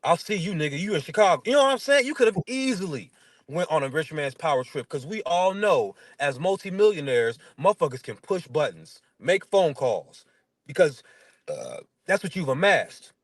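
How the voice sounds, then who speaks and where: High-pitched man with a stutter. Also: very animated, normal speed, no room sound, studio quality. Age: 30s. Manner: blunt, slangy, emphatic. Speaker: interview guest. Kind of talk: casual chat, animated storytelling.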